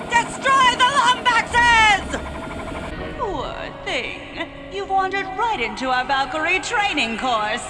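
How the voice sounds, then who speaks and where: High-pitched, gloating game villainess. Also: female voice, heavy background noise. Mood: gloating, menacing. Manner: taunting, theatrical, menacing. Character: gloating female villain, cruel mocking warrior leader. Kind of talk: video game cutscene acting.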